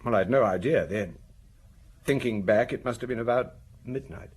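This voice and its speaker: An actor playing a shaken, vain husband in a radio drama, speaking in a halting, anguished, defensive way. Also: male voice, mid-range voice, husky, natural voice. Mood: numb, confessional. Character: shaken husband, a vain well-dressed provincial, wife found murdered.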